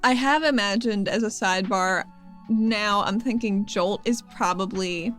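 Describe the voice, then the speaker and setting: A woman's voice: medium pitch, a little slow, a bit bright, faint music behind. A woman chatting and joking casually as a player in a tabletop game.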